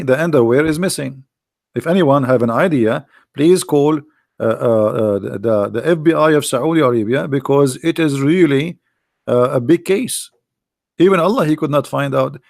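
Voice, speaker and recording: A man hosting a talk show, mocking and sarcastic, going hoarse as he loses his voice. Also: fairly high pitch, no echo, studio quality. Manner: mocking, sarcastic, with a non-native grammar. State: losing his voice. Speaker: host.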